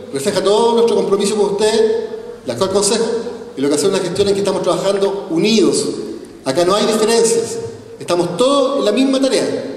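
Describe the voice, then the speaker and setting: Man, forties, high-pitched voice, mayor's podium speech, long hall reverb from far off. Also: a little mellow. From Chile. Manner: earnest, rhetorical, with repeated tag questions. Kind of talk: formal public speech. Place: large hall, on stage at a podium. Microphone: podium microphone, recorded from a far camera.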